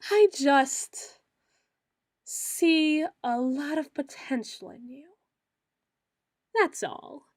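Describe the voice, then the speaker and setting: A woman playing a melodramatic self-styled female dark lord in an ASMR roleplay, pompous and theatrical, grandiose yet a little awkward. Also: high-pitched, drawn-out words, long pauses, no room sound, clean studio sound. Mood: awkward, earnest. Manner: pompous, theatrical, mock-archaic phrasing with awkward hedging.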